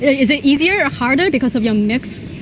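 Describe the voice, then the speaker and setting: Woman interviewer, high and upbeat; outdoor street noise. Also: animated, brisk. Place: busy city street outdoors.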